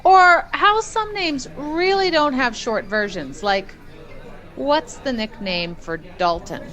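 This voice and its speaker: Woman playing an airy, slow-talking rambler. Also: high voice, expressive, bright, forceful tone, soft background music, full character voice. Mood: musing. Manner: casual, musing, unhurried. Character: airy, rambling woman stalling with idle questions. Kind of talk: radio play acting.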